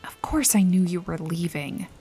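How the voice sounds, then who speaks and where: Woman playing wry noir detective lady, breathy almost whispery, takes her time, teasing and a bit threatening, soft ambient bed behind her. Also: on the low side, moderate pace, faint music behind. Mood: wry, amused. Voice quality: breathy, often dropping toward a whisper. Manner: sardonic, teasing, casually threatening drawl. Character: wry, bossy woman detective-bodyguard with a dangerous, teasing edge. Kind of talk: noir mystery ASMR roleplay, intimate addressing of listener.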